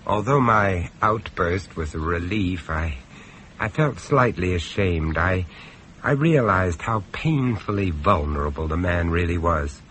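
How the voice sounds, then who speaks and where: An actor playing a grave, observant gentleman who narrates a horror tale in a radio play, in a dramatic storytelling style. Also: low voice, expressive, unhurried. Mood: grave, tense. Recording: noisy old radio drama recording.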